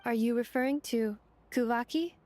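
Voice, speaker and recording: A woman's voice: fairly high pitch, a little mellow, natural voice. A woman voicing a polite robot guide in a video game, speaking in a formal, precise, literal manner. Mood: explanatory. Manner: formal, precise, measured wording.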